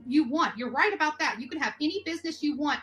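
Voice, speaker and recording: A woman's voice: sits high, expressive, fast talker, a bit bright, soft background music. A woman confronting someone with insistent, accusatory, repetitive questions on a phone call. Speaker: interviewer confronting a man by phone.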